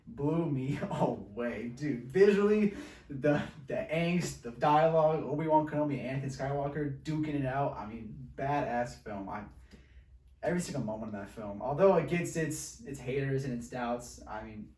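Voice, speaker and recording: A man's voice: medium pitch, moderate pace, mellow. Slangy young man, roomy distant sound. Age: 20s. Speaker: film podcast co-host. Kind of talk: casual chat. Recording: roomy echo, distant from microphone.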